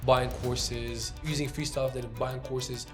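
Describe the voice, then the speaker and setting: A man's voice: medium pitch, little pitch movement, over music. Swiss French man, rambling casual talk. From Switzerland. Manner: loose and halting, heavy on "like" fillers. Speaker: podcast guest, trader.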